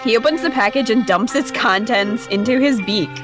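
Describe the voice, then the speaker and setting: A woman co-hosting a gaming video, reading visual novel narration with playful, expressive asides. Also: fairly high pitch, normal speed, music in the background.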